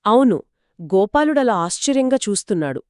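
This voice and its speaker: A woman narrating a moral folktale in an expressive, lively storytelling manner. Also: mid-range voice, moderate pace, clean tone, dry recording, clean studio sound. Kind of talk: scripted two-voice folktale narration.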